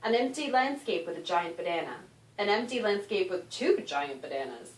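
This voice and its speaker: A woman author reading a children's story aloud in an expressive, animated narration with dramatic emphasis. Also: fairly low pitch, moderate pace. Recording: roomy echo, distant microphone.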